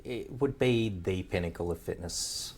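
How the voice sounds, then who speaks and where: A man's voice: low voice, moderate pace, slight background noise. A man hosting a podcast interview, friendly and affirming, calling his guest "mate". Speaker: podcast host. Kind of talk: casual interview chat.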